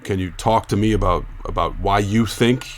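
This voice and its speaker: An actor playing a cautious, conciliatory local radio reporter in an audio drama, speaking in a halting, placating way. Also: male voice, lowish voice, natural voice. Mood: urgent calming. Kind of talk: naturalistic audio drama acting.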